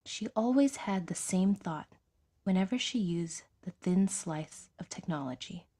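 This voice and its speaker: A woman's voice: fairly low pitch, lively intonation, unhurried, warm tone. A woman reading scripted fiction narration in the manner of an audiodrama storyteller.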